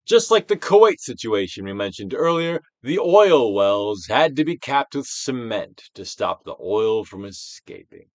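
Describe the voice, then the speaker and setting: Male actor, dramatic self-help audiobook reading, widely swinging intonation, hushed to booming emphasis, dry close sound. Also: big pitch swings, unhurried, hardly any pauses, no room sound. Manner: theatrical, emphatic, swinging from hushed near-whispers to loud projection.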